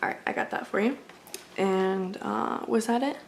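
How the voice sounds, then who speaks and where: A woman's voice: medium pitch, normal speed, vocal fry, slight background noise. A woman doing an ASMR roleplay as a friendly, accommodating video game store clerk, chatting in a casual, polite and apologetic way. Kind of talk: ASMR roleplay, casual customer-service chat.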